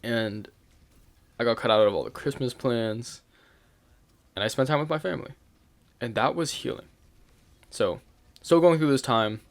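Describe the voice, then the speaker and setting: A man's voice: mid-range voice, moderate pace, long pauses. Young man telling a candid rant. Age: young adult. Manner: candid, rambling, with fillers and swearing; laughs now and then while talking. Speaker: channel host. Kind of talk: casual storytelling rant.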